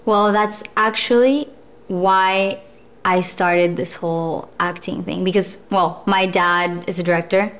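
Brief: young woman actress, reflective guest, unhurried and full of fillers. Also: fairly low pitch, steady tone, fairly bright tone. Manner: thoughtful, with frequent fillers and laughs. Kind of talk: casual interview chat.

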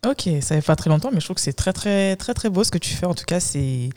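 A woman's voice: fairly low pitch, animated, quick pace, darkish tone. Enthusiastic woman podcast host. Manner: enthusiastic, complimentary, hesitant restarts. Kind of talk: casual podcast interview.

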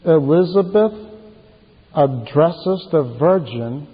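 Man, preacher, emphatic repetition, slow pace, husky unclear tone, sermon style, dull echoing recording. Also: a little high, expressive. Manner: emphatic, deliberate, repeats key phrases for emphasis. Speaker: preacher reading a translated homily. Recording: muffled, very reverberant hall sound.

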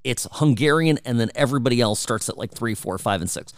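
A man's voice: mid-range voice, expressive, fairly quick, no room sound, clean studio sound. A man hosting a talk radio show, delivering an opinionated, emphatic and conversational monologue. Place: radio studio.

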